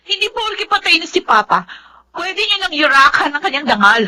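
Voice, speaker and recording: A woman's voice: very high voice, sing-song, moderate pace, sharp tone, exaggerated voice. A woman with a Filipino accent acting an aggrieved, defiant daughter in a melodramatic radio drama. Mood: indignant. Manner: confrontational, emotional, accusing. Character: defiant, aggrieved grown daughter defending her dead father.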